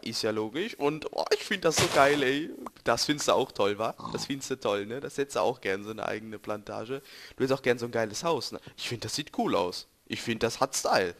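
A young man, a bit hoarse, rambling and joking to viewers while commentating his gameplay. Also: medium pitch, expressive, a little fast, a bit bright. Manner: joking, rambling, self-correcting, talks to viewers. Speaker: let's play gamer.

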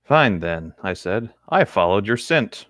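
A man's voice: on the low side, big pitch swings, unhurried, fairly bright tone, dry recording, studio quality. A man chatting casually and reading a novel aloud among friends. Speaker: co-host reading a book aloud for commentary.

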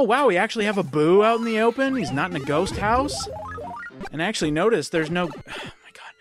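Hoarse, chatty gameplay commentator. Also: mid-range voice. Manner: chatty, exclaims at game events. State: hoarse, losing voice from a cold. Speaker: let's play commentator. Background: faint game music.

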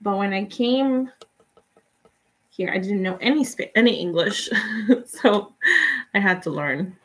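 A young woman from Guatemala whose first language is Spanish, chatting relaxed and giving step-by-step tips in a live painting tutorial. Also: medium pitch, takes it slowly. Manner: relaxed, chatty, laughs often, answers viewers' comments. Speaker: painting instructor.

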